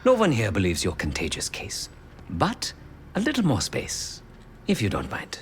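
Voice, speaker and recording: A man's voice: on the low side, wildly swooping pitch, moderate pace, a little hiss or hum. A man playing a fussy intelligence tech expert in a video game, speaking formally and precisely. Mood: uneasy, polite. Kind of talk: video game cutscene acting. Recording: loud music behind the voice.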